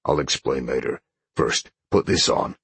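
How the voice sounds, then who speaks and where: A man voicing a gruff, terse male rebel leader in a fantasy story, with a growling, husky voice. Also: very deep voice, measured pace, a bit bright, voice pushed down, full character voice. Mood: firm, businesslike. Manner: terse, bossy.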